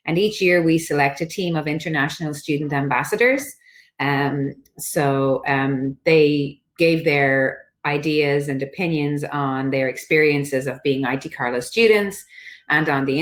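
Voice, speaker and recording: A woman presenting slides at an online study fair, relaxed and filling pauses with ums. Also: low-pitched. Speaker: international office presenter.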